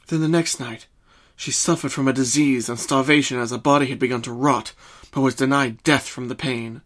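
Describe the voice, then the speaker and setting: A man reading a scripted story as narrator, in a solemn, deliberate and dramatic way. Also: mid-range voice, fairly even intonation, a bit bright.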